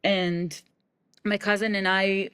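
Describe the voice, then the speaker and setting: A woman's voice: mid-range voice, little pitch movement, fairly bright tone, clean tone, no room sound. Israeli comedian lady in an interview, stops and restarts a lot, dry close sound. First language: Hebrew. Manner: halting, many restarts, acts out quoted voices. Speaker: guest comedian. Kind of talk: conversational interview.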